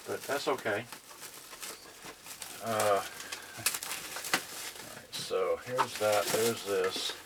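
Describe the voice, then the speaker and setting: Slow-talking man rambling over noisy crinkling. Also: mid-range voice. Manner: halting, muttering asides to viewers, mildly grumbling. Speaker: unboxing vlogger. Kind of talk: casual rambling unboxing commentary. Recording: noisy recording with rustling packaging. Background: clicks and crinkling packaging.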